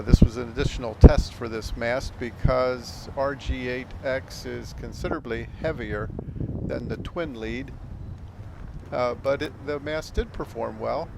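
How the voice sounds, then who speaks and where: A man's voice: medium pitch, vocal fry. An older American man talking casually and explaining things in a hesitant, reflective way. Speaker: amateur radio operator and vlog host. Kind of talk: casual explanatory vlog talk. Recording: outdoor background noise.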